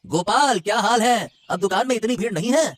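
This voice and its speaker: A man's voice: sits high, fairly quick, no room sound, natural voice. A man voicing a vengeful, taunting relative in a Hindi family cartoon, needling and repeating himself. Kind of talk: Hindi moral-story cartoon voice acting. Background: music.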